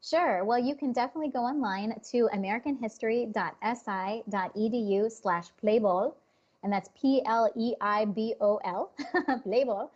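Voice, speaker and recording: A woman guest in an interview who answers thoughtfully, halting and restarting her sentences. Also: a little high, darkish tone. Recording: dull lo-fi sound.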